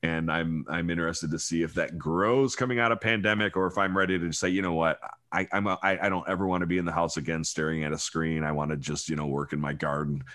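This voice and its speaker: A man's voice: low voice, fairly even intonation, quick pace, a bit bright. A man hosting a casual podcast chat, rambling and self-deprecating, swearing freely. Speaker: podcast host.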